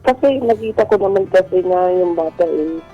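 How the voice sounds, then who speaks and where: A woman's voice: lowish voice, a little fast, warm tone, slightly rough, dull audio, soft background music. A woman calling a radio show, talking casually and confessionally in an earnest, hesitant way. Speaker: radio show caller sharing a secret.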